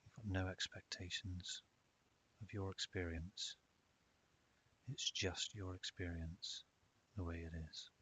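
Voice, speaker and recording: A man narrating a guided meditation in a calm, measured way with long pauses. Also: low voice. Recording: dull lo-fi audio with hiss.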